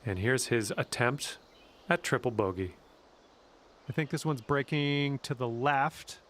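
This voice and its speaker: A man's voice: mid-range voice, expressive, a little slow, fairly bright tone. A man giving informal, colloquial golf commentary in the style of a sports video game. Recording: clear background noise.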